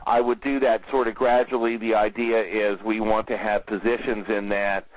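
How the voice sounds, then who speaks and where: A man giving unscripted market commentary as an analyst on a client call, talking in a measured, conversational way with frequent hesitant fillers. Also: lowish voice, flat delivery, no echo, rough recording. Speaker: market analyst, host of a weekly conference call.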